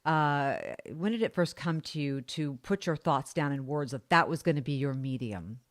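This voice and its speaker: A middle-aged woman hosting an interview, asking questions with enthusiasm and warmth. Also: low voice, normal speed. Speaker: interviewer host. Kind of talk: interview conversation.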